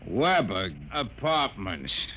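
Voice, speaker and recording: An actor in a 1950s radio drama playing a gruff, impatient, streetwise deliveryman. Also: mid-range voice, very animated, slow pace, sharp tone, full character voice. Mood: brusque, impatient. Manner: brusque, streetwise. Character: gruff, impatient working-class male deliveryman. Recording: muffled old radio broadcast.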